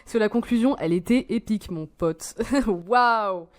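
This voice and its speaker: A woman's voice: fairly high pitch, moderate pace. A woman in her twenties, rambling and swearing as she reacts to a video in casual slang. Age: 20s.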